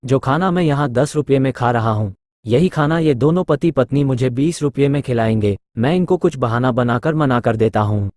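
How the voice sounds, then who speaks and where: A man plays a polite, simple villager in a Hindi cartoon story. The pitch sits in a middle range and the sound is close and dry, without any echo. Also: moderate pace, darkish tone, close to an everyday voice. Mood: musing, wary.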